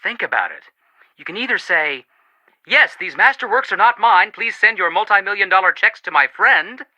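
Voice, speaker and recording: An actor playing an earnest, sympathetic young amateur detective in scripted video game dialogue. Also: male voice, high voice, animated, normal speed, pushed and bright, dry recording, exaggerated voice, poor audio. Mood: animated, sympathetic.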